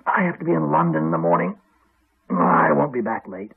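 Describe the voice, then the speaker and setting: Sardonic taunting husband, measured cruelty, very varied intonation, 1980s radio play, tinny narrow-band sound. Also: male voice, a little high, very animated, creaky, husky, full character voice. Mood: needling. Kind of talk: 1980s radio drama acting.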